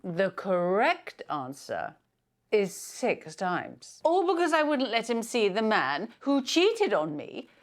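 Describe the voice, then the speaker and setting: A woman's voice: mid-range voice, sing-song, slow. An actress playing a bitter, theatrical middle-aged mother who speaks with pompous sarcasm in a film drama. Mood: bitter, commanding. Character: bitter, theatrical mother hosting a hostile family quiz. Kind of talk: acted film dialogue.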